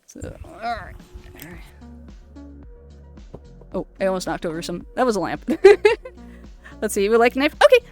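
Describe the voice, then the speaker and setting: A woman streamer chatting casually with a guest, laughing often and chatty. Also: mid-range voice. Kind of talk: casual chat on a charity stream.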